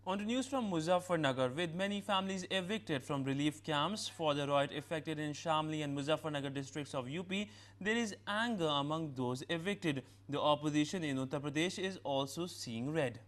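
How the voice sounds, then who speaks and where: A man's voice: a little high, normal speed, hardly any pauses, a bit bright. A man with an Indian accent reading scripted news as an anchor in a formal manner, occasionally stumbling.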